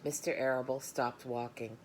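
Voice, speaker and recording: A woman's voice: a bass voice, fairly even intonation, normal speed. A woman reading a storybook aloud, mixing narration with informal remarks to listeners. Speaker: storybook reader. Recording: noticeable background noise, room ambience.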